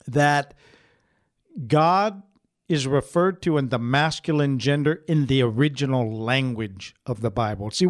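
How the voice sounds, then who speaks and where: Smooth-voiced man giving a casual Bible talk. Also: mid-range voice, dry recording, clean studio sound. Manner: conversational, addresses folks directly, frequent restarts. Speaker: former minister, host. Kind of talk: informal teaching talk.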